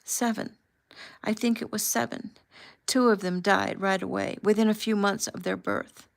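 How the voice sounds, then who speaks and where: A woman voicing a desperate, tearful young woman with a Spanish accent who speaks in breathless, halting bursts. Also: on the low side, fairly even intonation, fairly bright tone. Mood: sobbing, frantic. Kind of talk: audiobook character voice.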